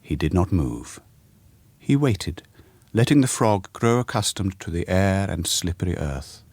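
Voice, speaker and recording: Man, story narration, very deep voice, measured pace, dry close studio mic. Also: animated, darkish tone, no room sound. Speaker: reader of a short story. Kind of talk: scripted narration. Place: radio studio.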